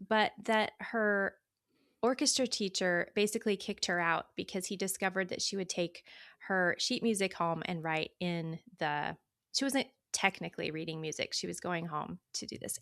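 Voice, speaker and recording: A woman's voice: medium pitch, creaky, a bit bright, no echo, clean studio sound. A woman co-hosting a podcast, chatting about books in a casual, reflective, conversational way. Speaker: podcast co-host. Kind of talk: casual book discussion.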